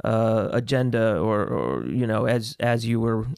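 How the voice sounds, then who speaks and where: A man interviewing casually, feeling his way through a question in a halting, searching manner. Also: lowish voice, lively intonation, gravelly, darkish tone, clean tone, dry recording, studio quality.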